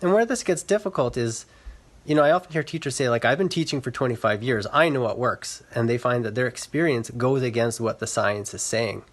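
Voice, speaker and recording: A man with a mid-pitched voice gives an explanatory talk as a reading specialist. He speaks earnestly in measured phrases, addressing online viewers directly. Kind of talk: explanatory talk to camera for social media.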